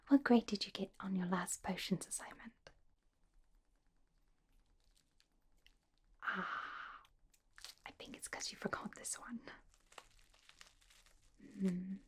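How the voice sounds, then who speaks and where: A woman playing a studious, caring teenage schoolgirl witch in a whispered, breathy ASMR roleplay, speaking gently and helpfully with small hesitant pauses. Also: medium pitch. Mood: gently concerned.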